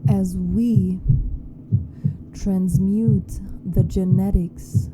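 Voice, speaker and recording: Very slow, dark-toned woman guiding meditation. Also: steady tone, poor audio. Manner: hushed, deliberate, with long pauses. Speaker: guided meditation host. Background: meditation music with a low hum.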